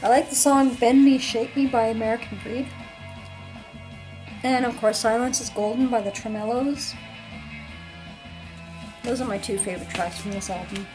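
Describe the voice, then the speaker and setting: A woman chatting casually about her record collection, rambling with many ums, her voice hoarse and tired late at night. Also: a little high, fairly even intonation, normal speed, long pauses, a little mellow, faint music behind, poor audio. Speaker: vinyl collector vlogger. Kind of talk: casual show-and-tell vlog.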